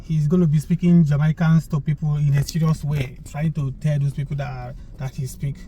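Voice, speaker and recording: A man's voice: a little high, flat delivery, fairly quick. A man in his forties, talking reflectively as he drives, explaining his views at length. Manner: lecturing, reflective. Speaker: vlogger. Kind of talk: casual chat. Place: driving a car. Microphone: phone camera on the dashboard. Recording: loud car road noise.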